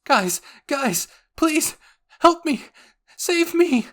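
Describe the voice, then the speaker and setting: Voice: sits high, very animated, very slow, pitched above the performer's own voice, heavily acted. A performer voicing a terrified young soldier pleading desperately in an anime fan-fiction roleplay.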